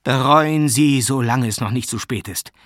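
A man's voice: mid-range voice, lively intonation, measured pace, slightly rough, dry recording, studio quality. A man voicing a polite but stubborn, wrinkled and pious cousin who pleads and preaches in an audiobook. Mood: indignant preaching. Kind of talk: audiobook character acting.

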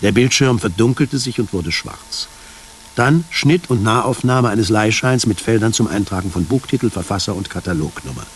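A man narrating a science fiction radio play in a measured, detached, descriptive way. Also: medium pitch, slight background noise, close to an everyday voice. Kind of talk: scripted radio drama narration.

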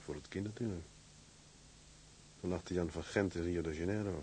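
An actor playing a hearty, affectionate young ship's mate in a radio play. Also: male voice, low voice, moderate pace, a little mellow, a little hiss or hum, lightly acted. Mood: quiet, musing. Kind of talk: dramatised radio play acting.

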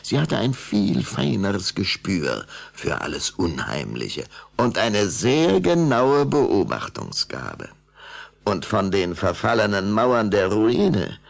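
Deep, gravelly male storyteller. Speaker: narrator. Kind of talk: scripted children's audio drama narration in German.

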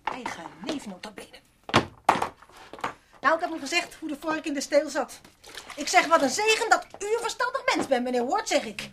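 High-pitched bossy housekeeper. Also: female voice, animated, normal speed, pauses often, very noisy. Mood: indignant. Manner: scolding, self-righteous, chatty. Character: bossy, indignant gossiping housekeeper, mother of three grown children. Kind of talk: Dutch radio play acting.

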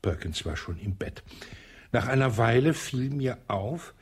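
An actor playing a sly colonel under police questioning in a radio play, with a husky voice, evasive and dryly sarcastic, often dropping to a whisper. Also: male voice, medium pitch, lively intonation, measured pace. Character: sly elderly male colonel, suspected wife-killer.

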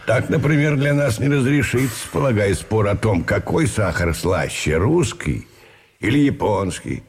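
An actor playing a wry, pedantic, educated prisoner in a radio drama, speaking with ornate, deliberate irony. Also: male voice, fairly low pitch, expressive, breathy edge. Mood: dry irony.

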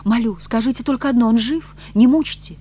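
Audiobook role: proud, distressed woman, played by a male reader, lifted pitch, emotional, indignant or pleading, faint noise. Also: lively intonation, fast, darkish tone. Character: proud, distressed unhappily married woman, wary then pleading.

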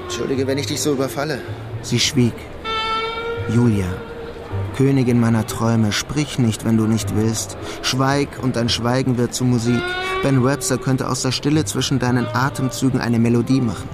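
Male actor as bitter lovesick guy in a radio crime play, wry and brooding, music loud underneath. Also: medium pitch, steady tone, moderate pace, close to an everyday voice. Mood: sarcastic, wounded. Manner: sardonic, brooding. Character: bitter lovelorn young man working a menial job in Queens. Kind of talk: radio crime drama acting and narration.

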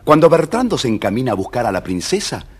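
A man with an Argentine accent and a husky voice, narrating a scripted story for children. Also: medium pitch, very animated, fast talker, faint music behind.

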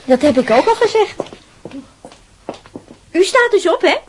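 A woman's voice: very high voice, very animated, measured pace, slight background noise, heavily acted. An actress playing a bossy, teasing woman of the household in a radio drama, with a Netherlands Dutch accent.

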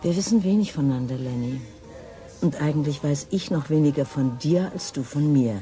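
A woman's voice: low-pitched, normal speed, dark tone, faint music behind, lightly acted. An actress playing a smitten woman detective in a radio drama, probing and intimate in manner. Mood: tender, probing. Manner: intimate, probing, sometimes whispering. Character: smitten female chief detective, searching and wistful.

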